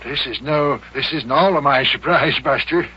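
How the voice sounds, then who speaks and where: An actor playing a jovial old small-town mayor in love, with folksy, flustered, flowery talk in an old-time radio comedy. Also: male voice, on the high side, moderate pace, slight background noise, close to an everyday voice. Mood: giddy, doting. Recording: muffled old radio show recording.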